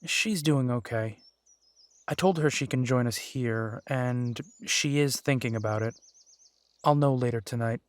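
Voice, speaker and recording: A man playing a gentle, dry-humored werewolf private eye and younger brother in an immersive roleplay audio drama, speaking softly and wryly. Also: mid-range voice, measured pace, close to an everyday voice. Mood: thoughtful.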